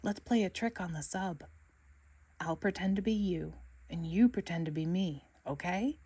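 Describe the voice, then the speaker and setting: A woman's voice: lowish voice, normal speed, warm tone, husky, no echo, far from the mic. A mischievous storybook schoolboy, acted out by a woman reader, plotting pranks. The manner is sneaky and conspiratorial, like a classmate sharing a secret. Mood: sneaky, gleeful.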